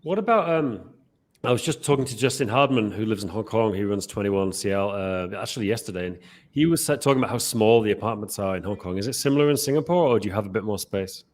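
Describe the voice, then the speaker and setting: A man's voice: lowish voice, animated, quick pace, a little mellow. A man hosting a podcast interview, asking long rambling questions full of restarts. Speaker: podcast host. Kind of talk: casual interview.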